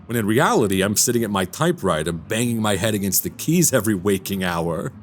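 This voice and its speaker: An affable, animated bachelor writer. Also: male voice, on the low side, a little fast, slight background noise. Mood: amused. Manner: chuckling, wry, theatrical. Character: affable, self-deprecating bachelor writer, amused by rumors. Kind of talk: radio drama acting.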